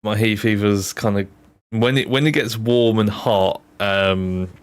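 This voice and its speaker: A man's voice: lowish voice, steady tone, measured pace, smooth. A young man with a blocked, nasal nose chatting casually on a game stream, rambling with lots of ums and restarts. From Britain. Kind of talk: casual live-stream chat.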